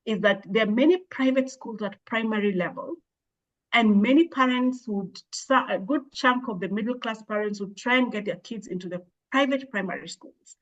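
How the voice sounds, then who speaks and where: A woman, an education expert, answering interview questions in a measured, thoughtful way with frequent hesitations and restarts. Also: fairly high pitch, lo-fi sound. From Kenya. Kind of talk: explaining in an interview.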